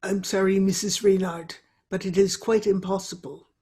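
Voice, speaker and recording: A woman playing a mysterious, solemn séance medium in a radio play, with formal, drawn-out, incantatory delivery. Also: medium pitch, little pitch movement, a little mellow, lightly acted. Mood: grave. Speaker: amateur radio drama player. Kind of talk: old-time radio acting.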